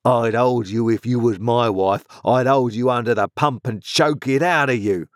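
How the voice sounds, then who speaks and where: A man voicing a sullen, insolent journeyman in his twenties, growling gruffly in rustic working-class speech for a storybook. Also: medium pitch, animated, measured pace, dry recording, studio quality, voice pushed up, natural voice. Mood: menacing, insolent. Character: surly sullen journeyman blacksmith, about twenty-five, resentful and insolent.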